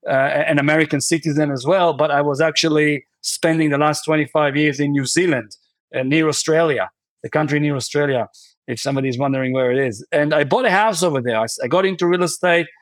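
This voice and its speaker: A man's voice: a little high, a little fast, no room sound. A man from Israel, a guest on a podcast, answering questions in a conversational way, with many fillers and restarts. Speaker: podcast guest, real estate investor.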